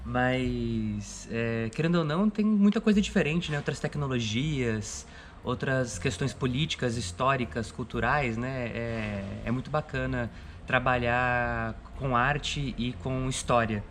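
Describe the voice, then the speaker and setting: A man's voice: medium pitch, unhurried, fairly bright tone, slight background noise. A Brazilian man, a cultural producer, talking casually in a making-of interview and often tagging phrases with little checks. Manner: frequent "né" tags. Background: background music.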